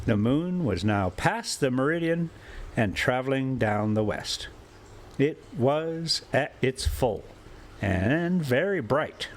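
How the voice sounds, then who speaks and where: A man narrates a story at a very slow, deliberate pace. His voice is medium in pitch, and he reads as the host of an informal story time. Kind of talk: audiobook-style story narration with casual asides.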